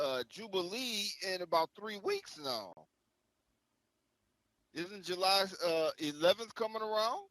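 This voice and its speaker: A man's voice: high-pitched, pushed and bright, no room sound, low-quality recording. A man chatting casually as a show co-host, exuberant and emphatic in his delivery. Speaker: show co-host presenting a word-of-the-day segment. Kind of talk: casual chat.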